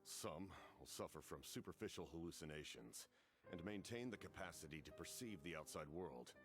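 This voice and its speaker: A man voicing a stern, composed veteran captain in a video game, speaking in measured, formal sentences. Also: sits low, lively intonation. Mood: grave, measured. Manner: measured, formal, clinical explanations. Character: grave, commanding veteran warrior captain, male, stern and composed. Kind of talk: video game character dialogue. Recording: game music behind the voice.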